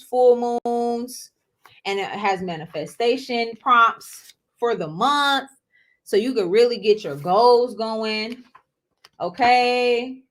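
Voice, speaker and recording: A woman in her twenties hosting her own show, talking casually to viewers, often repeating herself and calling them y'all. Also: a little high. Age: 20s. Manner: chatty, repeats words, addresses audience as y'all, asks okay?. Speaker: host. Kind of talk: casual solo talk to viewers.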